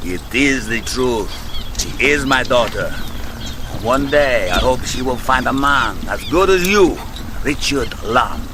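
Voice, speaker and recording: A proud, grave rebel general, played by a man in a radio drama, commanding and measured with dry understatement. His delivery swings with lively inflection, and steady ambient sound effects run beneath him. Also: mid-range voice, background noise, natural voice. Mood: gentle, earnest. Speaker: army general leading a hopeless stand.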